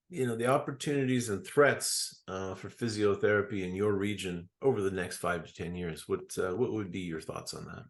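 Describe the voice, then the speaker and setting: A man hosting an interview, speaking in a halting way with many fillers and restarts. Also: medium pitch. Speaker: interview host. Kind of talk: casual interview.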